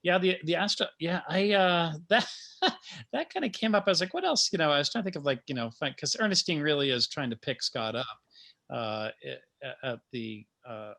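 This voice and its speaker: A man talking informally as a playwright about his work, in a halting, self-amused way full of trailing asides. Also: fairly high pitch, gravelly, bright, forceful tone. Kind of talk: informal panel conversation.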